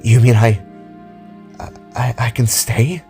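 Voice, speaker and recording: A man voicing a shy, tearful teenage robot boy who stammers haltingly, in an audio drama. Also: medium pitch, fairly even intonation, over music, close to an everyday voice. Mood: overwhelmed, tearful.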